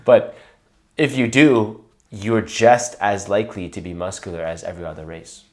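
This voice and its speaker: A young man with a notably low voice talks through his opinions in an easygoing, explanatory way. He laughs easily and keeps the tone of a relaxed chat between partners. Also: normal speed. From Canada. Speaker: boyfriend co-host. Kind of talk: casual couple chat.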